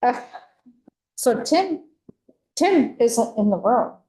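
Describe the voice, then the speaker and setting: Woman, committee chair, brisk manner, echoey hearing room. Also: on the high side, sing-song, warm tone.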